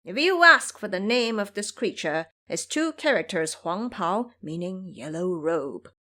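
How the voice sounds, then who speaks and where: A woman's voice: mid-range voice, animated, measured pace, bright, forceful tone. A woman narrator telling a story in a dramatic, scripted audio drama style.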